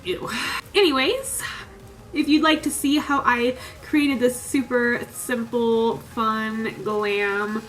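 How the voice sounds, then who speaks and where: Bubbly girl in her 20s doing a makeup tutorial, kinda nasal w a cold, soft music in the background. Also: fairly high pitch, takes it slowly, low-quality recording. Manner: chatty and upbeat, lots of "like" and "super". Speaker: beauty vlogger. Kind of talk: casual makeup tutorial chat. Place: small room at home with a sparkly backdrop.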